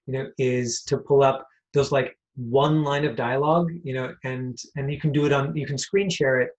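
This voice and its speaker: A man hosting an online class informally, haltingly repeating phrases as he goes. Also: mid-range voice, steady tone. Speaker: host and acting teacher. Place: on a video call. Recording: dull video-call audio.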